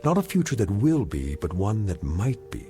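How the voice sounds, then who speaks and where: An actor as a grave, solemn narrator of eerie anthology drama, speaking deliberately and portentously. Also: male voice, fairly low pitch, big pitch swings, dark tone, soft background music. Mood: grave, ominous. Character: grave, ominous male narrator of eerie tales.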